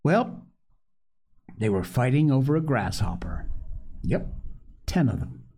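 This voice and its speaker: Storybook minister's wife, gentle, motherly and sweet, expressive intonation played by a man. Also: mid-range voice, slow pace, husky, voice pushed down, exaggerated voice. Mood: playful.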